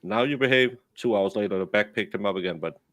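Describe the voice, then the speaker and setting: A man spins loose, rambling anecdotes in a relaxed online chat. His voice sits a little low, and the close sound is dry and free of room echo. He trips over his words and circles back often. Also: fast talker. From Denmark. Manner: rambling storyteller with many restarts. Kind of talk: casual chat on a stream.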